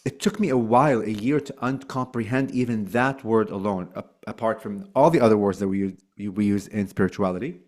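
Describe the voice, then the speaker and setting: A man's voice: on the low side, fairly quick, few pauses. Chatty Lebanese-born man in his forties. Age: 40s. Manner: animated, many fillers like "like" and "um". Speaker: guest. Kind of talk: casual conversational interview.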